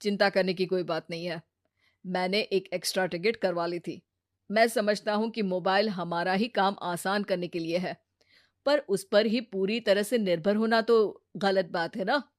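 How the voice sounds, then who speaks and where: A woman's voice: mid-range voice, steady tone, moderate pace, no room sound, pitched below the performer's own voice, natural voice. Woman acting a sensible brother-in-law, dry recording. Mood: calm, advising. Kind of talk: cartoon moral story acting.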